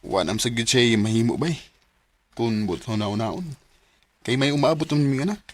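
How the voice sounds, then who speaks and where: A devoted young man from a Cebuano radio play, voiced by an actor. His medium-pitched voice is husky, and he speaks earnestly and from the heart as a son and soon-to-be father. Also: normal speed, no echo, lightly acted. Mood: contented, resolute. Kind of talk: radio drama acting.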